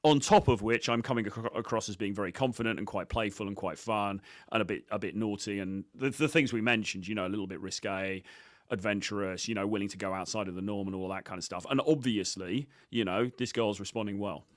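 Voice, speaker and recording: A man's voice: fairly low pitch, a little fast, dry recording, clean studio sound. A man giving informal coaching commentary on video clips, blunt, sweary and self-assured. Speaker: dating coach presenter.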